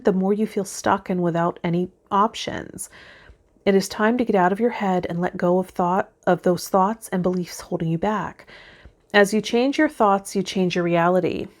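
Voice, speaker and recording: A woman's voice: medium pitch, a little mellow. A woman hosting a spiritual vlog, giving tarot guidance and speaking directly to her viewers. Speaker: tarot vlog host and healer. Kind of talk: spiritual guidance, part read aloud, part casual talk to viewers.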